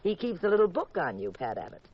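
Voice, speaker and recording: Sardonic detective's wife, playful and arch, muffled old 50s radio show. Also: female voice, on the low side, sing-song, fast talker, slightly rough, full character voice. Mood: teasing, sarcastic. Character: sharp, teasing wife of a detective. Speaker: lead actress and narrator. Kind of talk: 1950s radio detective drama acting. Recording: muffled vintage radio recording.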